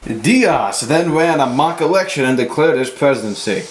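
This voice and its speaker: A man's voice: on the high side, animated, normal speed. A man working as narrator, reading a scripted amateur history account. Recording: echoey room with faint noise.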